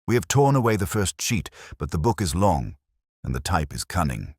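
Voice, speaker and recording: A man's voice: sits low, very animated, normal speed, a little mellow, slightly rough, dry recording, clean studio sound, full character voice. A man voicing a grave, brilliant detective in an audiobook story, with clipped, solemn, theatrical pronouncements and a scornful edge. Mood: grim, ominous.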